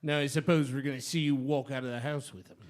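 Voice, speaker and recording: A man playing a menacing, blustering villain in a radio drama, threatening and emphatic, with a rough voice. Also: medium pitch, steady tone, quick pace, lightly acted.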